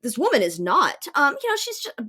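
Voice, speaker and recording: A woman's voice: high voice, wildly swooping pitch, brisk, pushed and bright. An American woman chatting casually as a podcast co-host in an informal, conversational way. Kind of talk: casual chat.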